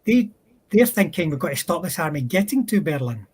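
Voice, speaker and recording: A man on a discussion panel explaining military history in a thoughtful, halting way, stretching out words. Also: fairly high pitch, very animated, slightly rough. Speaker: panel speaker.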